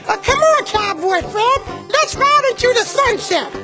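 A man's voice: extremely high pitch, lively intonation, measured pace, loud music behind the voice. A man voicing a giggly little red monster toddler who talks about himself in the third person. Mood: playful. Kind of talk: children's puppet show voice acting.

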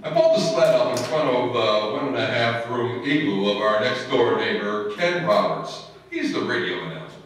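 A man's voice: medium pitch, expressive, moderate pace, hardly any pauses, a bit bright, lightly acted. A man playing a wry, put-upon husband in an old-time radio comedy, performed on stage. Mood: storytelling. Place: indoor hall stage before seated audience. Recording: roomy, distant stage recording, faint noise.

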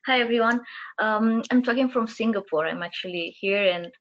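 A woman's voice: on the high side, normal speed, fairly bright tone. A woman from Romania presenting a webinar, giving a methodical, structured overview. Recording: muffled call audio.

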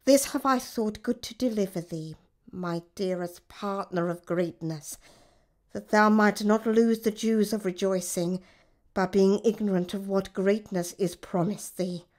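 A woman playing an ambitious noblewoman in a Shakespearean radio drama, reading a letter aloud in a measured, deliberate way. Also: mid-range voice, a little mellow, close to an everyday voice. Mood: intent, savouring. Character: ambitious noblewoman poring over her husband's letter. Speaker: actor in an amateur radio drama.

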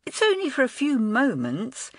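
A woman reading aloud and voicing an excited, eager schoolgirl in a lively, high girlish voice. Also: normal speed, no room sound, studio quality, full character voice. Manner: bright, breathless, high girlish delivery.

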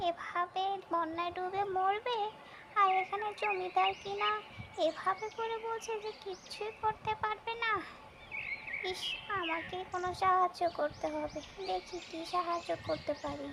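A woman voicing a kind-hearted female ghost in a Bengali cartoon, with a creaky voice. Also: very high-pitched, fairly even intonation, unhurried, noisy background. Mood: indignant, pitying. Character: kind-hearted female shakchunni ghost watching over villagers. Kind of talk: Bengali cartoon character voice acting.

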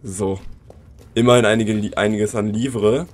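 A man's voice: lowish voice, steady tone, unhurried, darkish tone, slight background noise. A man with a cold and a sore throat, casually commenting on his gameplay and talking straight to his viewers from his computer. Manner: chatty, addresses viewers directly. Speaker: let's play commentator.

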